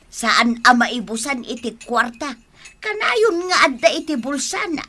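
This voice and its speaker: High-pitched, slow old village mother. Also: expressive, bright, forceful tone, slight background noise, full character voice. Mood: pleased. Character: elderly village mother-in-law, easily impressed. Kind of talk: Ilocano radio comedy drama acting.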